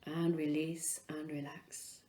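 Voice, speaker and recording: A woman leading a guided relaxation as a yoga instructor, speaking in an unhurried, soothing way with pauses between phrases. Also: deep voice, flat delivery, warm tone, reverberant.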